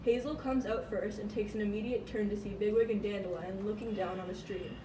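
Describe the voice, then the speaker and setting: A woman's voice: mid-range voice, fairly even intonation, fairly quick. A woman reading scripted story narration for an amateur puppet show, stumbling now and then. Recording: echoey, noisy room, far from mic.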